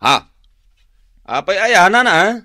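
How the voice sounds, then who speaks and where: A man's voice: high voice, sing-song, measured pace, pushed and bright, no room sound, clean studio sound, heavily acted. An actor playing a stubborn, defensive married village man in an Ilocano radio drama, protesting and blustery.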